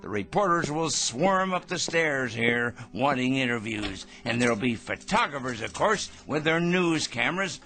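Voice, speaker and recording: An actor playing a pompous, paranoid megalomaniac in a radio drama, with a theatrical, feverishly excitable, ranting manner. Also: male voice, medium pitch, normal speed, few pauses, faint music behind. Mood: smug, preening.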